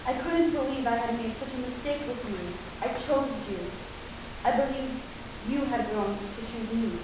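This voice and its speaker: Casting: commanding alien mother, played by a woman, higher-pitched, measured and insistent, on a hissy recording from a dramatic story reading. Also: lightly acted. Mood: grave, firm. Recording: echoey room from far off, muffled, hissy.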